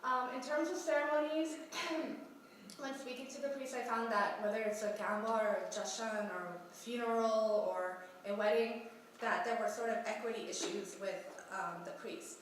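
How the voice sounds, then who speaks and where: A young woman who is sick and keeps clearing her throat, presenting her research at a conference with many hesitant ums. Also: a little high, fairly even intonation, moderate pace, slight background noise. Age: young adult. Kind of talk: research presentation at a youth congress. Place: large conference hall. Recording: echoey hall from far off.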